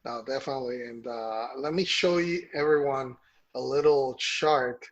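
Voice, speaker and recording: Husky man walking through a chart. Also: medium pitch, moderate pace, muffled recording. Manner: explains a chart step by step, with restarts. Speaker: co-host of a golf news show. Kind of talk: casual chat.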